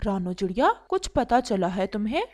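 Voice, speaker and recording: A woman's voice: mid-range voice, very animated, normal speed, darkish tone, voice pushed down. A woman voicing a concerned, helpful male pigeon in a cartoon story, speaking in an earnest, plain way. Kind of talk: Hindi cartoon story acting.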